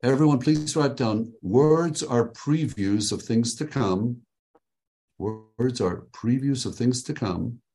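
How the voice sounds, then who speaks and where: Man, workshop leader on a video call from home, upbeat and joking, middle pitch, dictates key phrases slowly. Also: dark tone. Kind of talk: coaching a group on a video call. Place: his home.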